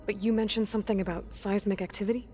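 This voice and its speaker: A woman's voice: mid-range voice, fairly even intonation, fast, dark tone, soft background music, close to an everyday voice. A woman voicing a determined young female warrior in a video game, wry and plain-spoken. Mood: wry, curious. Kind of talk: scripted video game dialogue.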